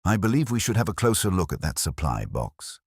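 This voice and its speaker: A man voicing a calm, courteous police inspector in a village mystery story, speaking mildly and formally in a murmur. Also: low-pitched, breathy edge, dry recording, studio quality, voice pushed up, full character voice. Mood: quietly serious. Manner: mild, unhurried, murmuring, formal.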